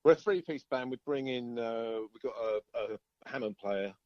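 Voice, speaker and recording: A man chatting informally as an interviewed musician, halting and circling back over his phrases. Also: medium pitch, a little mellow, no room sound, muffled recording. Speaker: band singer-guitarist being interviewed. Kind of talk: casual interview chat.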